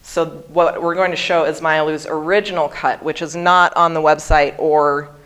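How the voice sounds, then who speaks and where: A woman's voice: low voice, fairly even intonation, normal speed, fairly bright tone. A woman in her early forties from Hawaii, giving a prepared seminar talk and pronouncing each word with care. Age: 40s. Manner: careful, over-articulated pronunciation. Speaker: guest speaker.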